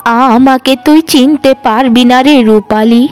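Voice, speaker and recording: A woman voicing a gentle, motherly old beggar woman in a cartoon, with drawn-out, affectionate speech. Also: high voice. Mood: kindly, earnest. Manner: slow, drawn-out calling and affectionate address.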